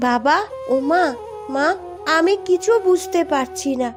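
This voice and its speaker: A woman's voice: high voice, measured pace. A woman voicing a poor, earnest young village daughter in a cartoon melodrama, plaintive and sad. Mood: sad, confused. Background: background music.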